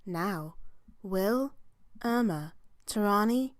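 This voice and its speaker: A woman playing a grave storyteller, narrating a scripted fantasy tale in a deliberate, suspenseful way. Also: mid-range voice, animated, no room sound, clean studio sound. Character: grave, measured female storyteller.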